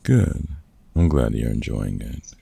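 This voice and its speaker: A man playing a gruff but kind-hearted veteran vampire hunter in a comfort audio roleplay, talking casually and swearing freely in a gravelly, husky voice. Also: very deep voice, very animated, warm tone, no echo, full character voice. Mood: warm, wry. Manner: gruff, sweary, casual mentor talk with pauses.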